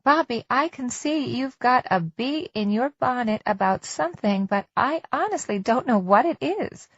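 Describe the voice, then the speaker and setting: A woman narrator plays a middle-aged wife in a storybook thriller, her voice lifted high. She is frightened and defensive, speaking with strained emotion. The sound is dry and a little dull. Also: expressive, moderate pace, no room sound. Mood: strained denial. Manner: pleading, indignant, strained. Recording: dull lo-fi dry audiobook recording.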